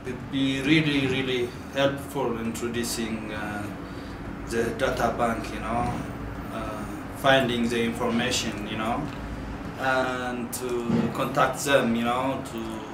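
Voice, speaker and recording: A man from Ethiopia with an Ethiopian accent, a tour guide giving an unscripted testimonial in halting, simple English. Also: medium pitch, flat delivery, very noisy. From South Omo, Ethiopia. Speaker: tour guide, small business owner.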